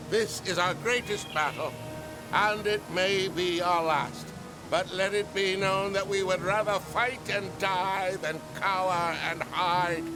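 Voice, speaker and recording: A man's voice: high voice, poor audio. A man voicing a proud, heroic prince in a video game, in declamatory oratory to his soldiers. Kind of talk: video game cutscene acting.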